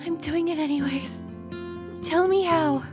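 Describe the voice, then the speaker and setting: A devoted, stubborn young woman , played by a voice actress, pitched high and speaking slowly. The delivery is light but firm and earnest, in fan audio drama style. Music runs underneath. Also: fairly even intonation. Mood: bold, defiant.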